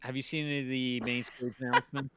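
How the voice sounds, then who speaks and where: A middle-aged man hosting a stream in casual chat, hesitant and often trailing off quietly. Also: mid-range voice, little pitch movement, quick pace, crowd noise. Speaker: stream host.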